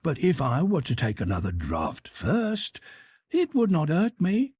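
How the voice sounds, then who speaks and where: A man reading aloud and voicing a sly, greedy young female cook in a high, wheedling voice. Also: wildly swooping pitch, unhurried, darkish tone, breathy edge, no echo, heavily acted. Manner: wheedling, talking to herself.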